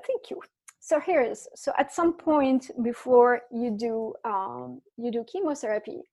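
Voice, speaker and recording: Guest, woman from Syria, high voice, animated storyteller. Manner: animated storyteller, many restarts. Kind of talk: casual interview chat.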